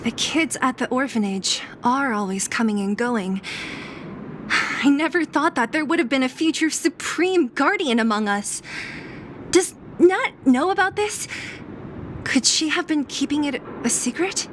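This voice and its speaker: Lively, high-voiced scrappy young woman. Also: measured pace, background noise. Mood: startled. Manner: playful, breezy, expressive. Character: cheerful, scrappy young woman raised in an orphanage. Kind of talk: English-dubbed game cutscene acting.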